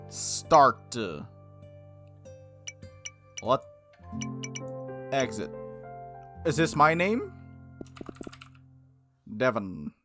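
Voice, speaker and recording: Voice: medium pitch, big pitch swings, very slow, pauses often. Gamer doing a chatty let's play, voice goes up and down a lot, music playing behind. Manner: informal, giggly, frequent "like", playful asides. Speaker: gaming video host. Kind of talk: casual gameplay commentary with read-aloud visual novel text.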